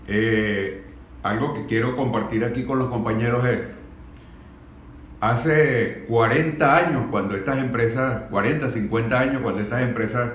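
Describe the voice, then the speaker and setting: Deliberate, slow Venezuelan man, echoey room. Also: mid-range voice, a bit bright. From Guayana, Venezuela. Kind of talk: spontaneous discussion. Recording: echoey room, faint background noise.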